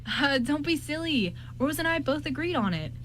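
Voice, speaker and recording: High-voiced awkward young groom played by a woman. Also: very animated, fairly bright tone, slight background noise. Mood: encouraging. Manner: hesitant, halting with ums. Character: awkward, eager, friendly young groom, male. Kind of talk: amateur radio drama acting.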